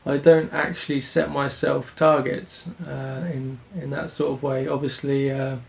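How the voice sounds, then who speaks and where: Young danish-born guy, gravelly voice, kinda monotone, stops and restarts a lot. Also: mid-range voice. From Denmark. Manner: earnest, halting, many restarts. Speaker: speedway rider. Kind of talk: interview answers.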